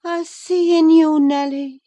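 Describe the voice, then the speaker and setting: A woman reading an audiobook, voicing a delirious, frightened young woman in a high, breathless, anxious voice. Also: steady tone, takes it slowly, mellow. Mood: dreamy. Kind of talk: audiobook character voice.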